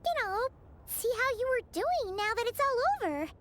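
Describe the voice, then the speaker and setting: A woman voicing a small, childlike, chatty companion creature in a video game, with a squeaky voice. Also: big pitch swings, moderate pace, over loud music. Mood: chirpy, concerned.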